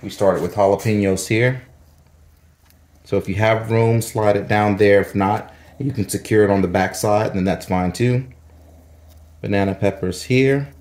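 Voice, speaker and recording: A middle-aged man hosting a gardening channel, giving a relaxed, chatty how-to demonstration with jokey asides in a home kitchen. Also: on the low side.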